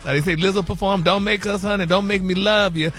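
A man puts on a campy gossip queen with a drawl, his voice pitched high and bright as he dishes celebrity dirt. He giggles between lines, calls everyone honey and baby, and stretches his vowels for drama. Faint music plays behind him. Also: steady tone, fast. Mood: gossipy. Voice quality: flamboyant, sassy, calls listeners honey and baby. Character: sassy campy gossip persona, male host. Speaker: celebrity gossip segment regular. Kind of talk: campy gossip chat on morning radio.

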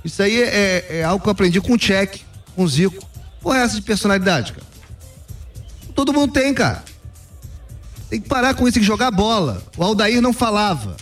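A man's voice: high voice, lively intonation, a little fast, pauses often, fairly bright tone, faint music behind. A man talking football as a pundit in casual sports talk, opinionated and emphatic. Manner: opinionated, emphatic, repeats "cara".

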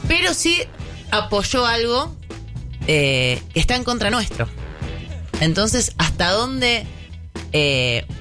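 A radio co-host with a Rioplatense Spanish accent, arguing a point in a lively, animated way. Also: mid-range voice, slow pace, long pauses, faint music behind. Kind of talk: radio talk debate.

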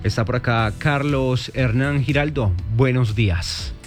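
A man hosting a morning radio traffic show, lively and joking as he reads listener reports. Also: mid-range voice, a little slow, soft background music. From Colombia. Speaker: radio host.

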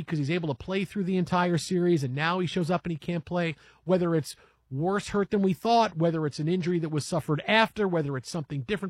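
A man on sports talk radio, talking through a playoff game with energy and plenty of "I mean" and "right?". His voice sits high for a man and has a husky edge. The studio sound is close and dry, with faint music underneath. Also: no room sound. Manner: animated, rambling basketball analysis with fillers. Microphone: studio microphone.

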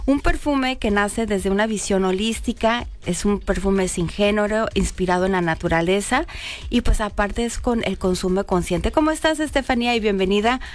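A woman's voice: mid-range voice, moderate pace. A woman hosting a TV talk show, chatting with her guests and often checking in with "right?". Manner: warm affirming, frequent "perfecto" and "¿verdad?". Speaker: TV show host. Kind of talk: casual interview chat. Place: Baja California Sur, Mexico.

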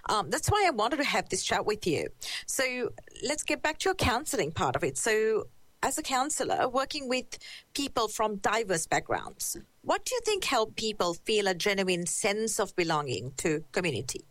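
Lively woman host, dry close sound. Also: medium pitch, normal speed, no room sound. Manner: warm and encouraging, with affirming interjections. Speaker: podcast host, interviewer. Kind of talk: conversational interview.

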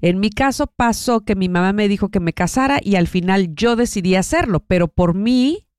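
A woman's voice: mid-range voice, lively intonation, normal speed, a little mellow, clean tone, no echo, clean studio sound. A woman hosting a casual live-stream chat, asking questions and reading viewer comments aloud. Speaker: host.

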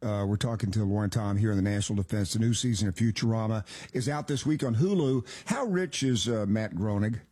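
Brief: male radio host, husky voice, eager interviewer with stumbling restarts. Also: lowish voice, a little fast, hardly any pauses, a little mellow. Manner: eager and friendly, many restarts.

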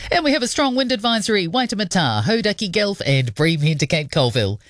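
A woman's voice: medium pitch, sing-song, fast, no room sound, clean studio sound. Lilting New radio newsreader woman. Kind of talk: radio news and weather read.

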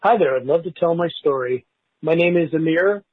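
A man in his sixties leaves a heartfelt voicemail over a thin, tinny phone line. His voice is fairly high for a man and rough-edged, and he speaks haltingly, pausing partway through his sentences. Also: no room sound. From Canada. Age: 60s. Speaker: listener leaving a voicemail, school bus driver. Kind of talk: heartfelt personal testimony by voicemail.

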